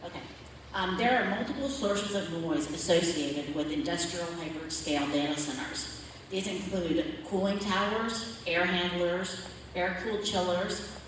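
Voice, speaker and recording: A low-voiced woman walks a community forum through her slides, explaining step by step and checking in with the room. She sounds far from the mic, her voice carrying through a big, echoing hall with a long reverb tail. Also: slight background noise. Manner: plain explanatory, asks "okay?" to check in. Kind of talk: public presentation with slides. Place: large echoey meeting hall.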